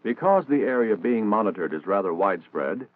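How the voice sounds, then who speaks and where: Male American narrator, formal and instructional, lively intonation, thin vintage band-limited soundtrack, 1960s military training film read. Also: lowish voice, brisk, no echo, phone-quality sound.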